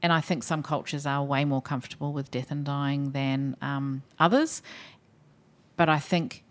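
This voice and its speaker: Low-pitched New Zealand woman, podcast guest, measured and reflective. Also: steady tone. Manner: thoughtful, measured, frequent ums. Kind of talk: podcast interview.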